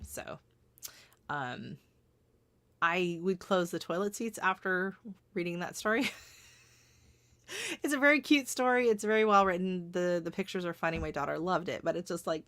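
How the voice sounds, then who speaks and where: Woman, middle-aged, from Canada, livestream host, hoarse, chatty, dry sound. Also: fairly low pitch, fairly bright tone, no room sound. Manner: chatty, laughs often, greets viewers by name. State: voice going hoarse. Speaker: gardening channel host. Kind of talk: casual livestream chat.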